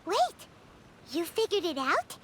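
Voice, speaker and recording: A woman voicing a tiny, childlike, excitable fairy companion in a video game, squeaky and blunt. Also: slow pace, a little hiss or hum, exaggerated voice. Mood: eager, curious. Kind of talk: English video game voice acting.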